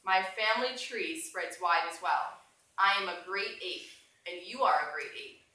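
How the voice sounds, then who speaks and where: A woman reading a storybook aloud as a proud, dignified, wry male gorilla, in a measured, solemn manner. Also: fairly low pitch, animated, sharp tone. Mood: proud, stately. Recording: echoey room from far off, faint noise.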